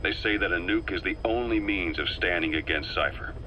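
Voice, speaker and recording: An actor playing a shrewd male intelligence officer who talks in a measured, persuasive and faintly sardonic way. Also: low voice, highs cut off, slight background noise, lightly acted. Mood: knowing. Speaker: advisor on a recorded tape. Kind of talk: video game voice acting.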